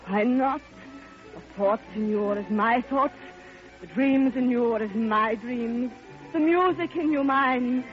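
An actress playing a lonely, lovestruck older Russian widow in an old radio drama, theatrical and yearning, with halting repetitions. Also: female voice, on the high side, close to an everyday voice. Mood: aching longing. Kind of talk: classic radio drama monologue. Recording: muffled old radio recording, music behind.

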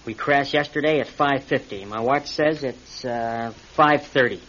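A man's voice: medium pitch, unhurried, fairly bright tone. An American man acting in a radio drama as an injured, practical, defensive pilot stranded after a crash. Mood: weary, reassuring. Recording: dull, noisy old radio recording.

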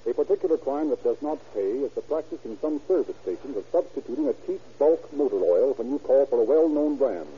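A male radio narrator with a measured, formal announcing delivery. His voice sits in a middle register. It comes through a tinny, narrow old broadcast recording with faint hiss. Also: medium pitch, lively intonation, warm tone.

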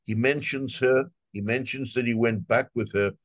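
A man's voice: medium pitch, steady tone, moderate pace, no room sound. An older, retired man answering interview questions in a wry, emphatic way, piling up repeated phrases for effect. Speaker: retired translator, guest.

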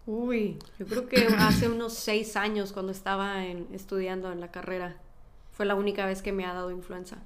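A woman's voice: medium pitch, flat delivery, normal speed. A woman with a Mexican accent, a doctor explaining things in casual podcast conversation.

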